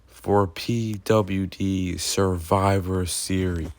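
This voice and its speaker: A man's voice: deep voice, fairly even intonation, drawn-out words, slight background noise. A man doing pro wrestling commentary and introductions, drawing out his phrases as he announces.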